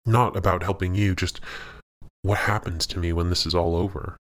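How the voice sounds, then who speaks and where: Casting: man to play ancient towering demon for audio fiction; deep, hesitant, quiet delivery, burdened and conflicted. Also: steady tone, lightly acted. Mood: anguished, conflicted. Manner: halting, quiet. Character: anguished seven-foot centuries-old male demon, conflicted, confessing.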